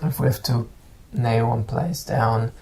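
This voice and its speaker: A man speaks English in a fairly flat, even tone. His voice has a gravelly fry. He talks haltingly and often repeats a word before he goes on. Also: mid-range voice. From Germany. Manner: halting, repeats words while searching for them. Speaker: guest musician. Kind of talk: casual interview talk.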